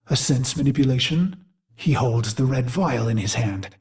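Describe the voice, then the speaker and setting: A man voicing a brooding warrior machine mind in a video game, recalling a brutal past in a grave, theatrical monologue with deliberate phrasing. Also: mid-range voice, close to an everyday voice. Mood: solemn, haunted. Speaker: game character narrator. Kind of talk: dramatic scripted game monologue.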